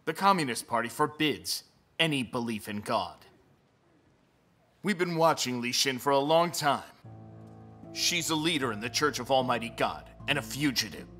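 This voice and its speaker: A man's voice: mid-range voice, animated, moderate pace, bright, forceful tone, faint music behind. A man playing a menacing, domineering police chief in a dubbed stage drama, commanding and interrogating. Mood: stern, threatening.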